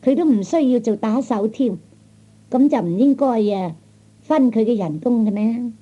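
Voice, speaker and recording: A man's voice: extremely high pitch, animated, normal speed, warm tone, breathy edge, full character voice. A man voicing a feisty, haughty young woman with a Cantonese accent, in old radio comedy style. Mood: scolding. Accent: Cantonese, Hong Kong or Guangdong. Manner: sassy, scolding, self-assured. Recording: dull old 1960s comedy record.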